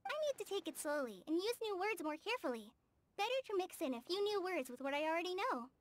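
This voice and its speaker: Casting: bashful, eager teen girl for a game, very high lively voice, halting and apologetic. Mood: earnest. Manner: hesitant, stammering, apologetic. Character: shy, earnest, eager teenage girl ranger trainee. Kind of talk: video game character dialogue.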